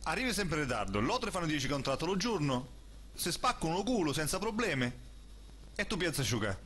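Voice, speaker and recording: Guy doing angry apulian office boss, fast n swoopy, coarse scolding, parody dub, bit of noise. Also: on the high side, sing-song, fairly bright tone. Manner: coarse, berating. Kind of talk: comic dialect parody film dub.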